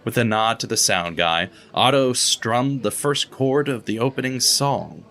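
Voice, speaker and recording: A man narrating an audio drama with expressive, steady storytelling. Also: medium pitch, unhurried. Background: guitar music.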